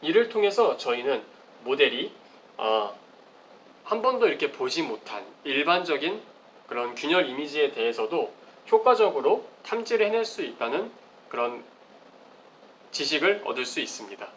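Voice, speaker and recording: A man's voice: fairly high pitch, sing-song, normal speed, pauses often, fairly bright tone, poor audio. A man giving a formal, explanatory research presentation with slides, as at an academic conference. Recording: clearly audible background noise.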